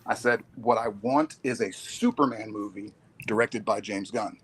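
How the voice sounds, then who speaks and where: A man on a casual podcast chat, speaking in a measured way as he builds an argument. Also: on the low side, fairly bright tone, husky. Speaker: podcast co-host or guest.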